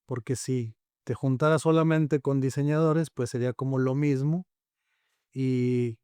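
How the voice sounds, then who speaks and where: A man's voice: mid-range voice, fairly even intonation, normal speed, mellow, clean tone, dry recording. A man with a Mexican accent, a native Spanish speaker, hosting a conversational interview. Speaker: podcast host, interviewer.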